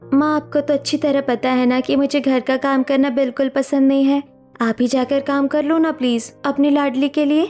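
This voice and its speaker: A woman's voice: high-pitched, fairly even intonation, moderate pace, darkish tone, faint music behind, lightly acted. A woman playing a carefree, pampered young village daughter who wheedles, in a cartoon family story. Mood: carefree, wheedling.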